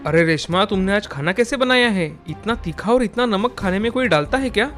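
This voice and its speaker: A man playing a stern but reasonable young husband and son in an animated family story, plain and admonishing. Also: sits high, fairly quick, soft background music. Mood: displeased. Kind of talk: animated Hindi moral story dialogue.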